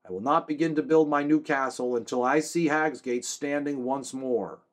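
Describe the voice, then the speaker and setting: A man's voice: medium pitch, normal speed, voice pushed up, lightly acted. A man voicing a stern, commanding young king in a storybook reading. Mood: resolute. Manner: stern, declaiming.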